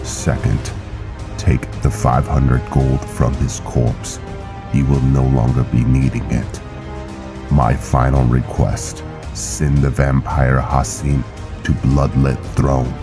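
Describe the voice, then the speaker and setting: A man playing a stern, honor-bound vampire knight officer in a game roleplay, speaking in a formal, solemn, measured way. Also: extremely low pitch, little pitch movement, music in the background, exaggerated voice. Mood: solemn, calculating. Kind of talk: scripted roleplay narration and game dialogue.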